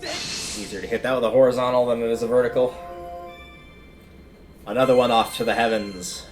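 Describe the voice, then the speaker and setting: A man's voice: medium pitch, lively intonation, a little fast, soft background music. A man livestreaming a video game, giving casual, rambling commentary as he thinks aloud.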